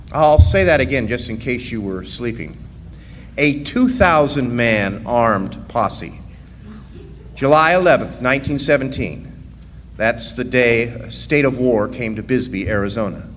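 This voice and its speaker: A man playing a plainspoken, wry miner who tells his story in a live radio drama, with a folksy and deliberate delivery. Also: mid-range voice, natural voice. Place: live performance in a resort hall. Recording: echoey hall stage, faint noise.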